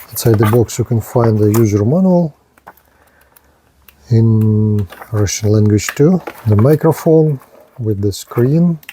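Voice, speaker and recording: A man giving a hands-on gadget demonstration as a reviewer, speaking in short, halting, item-by-item phrases. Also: mid-range voice, dark tone, slight background noise. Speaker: product reviewer, presenter.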